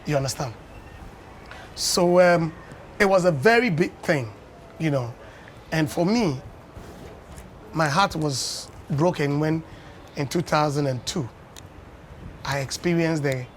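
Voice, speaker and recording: A Ghanaian man in his fifties, a former dance champion, tells unhurried stories as a guest on a breakfast TV interview, often adding "you know.". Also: on the high side, slight background noise. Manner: anecdotal storyteller, unhurried with many "you know"s. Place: television studio.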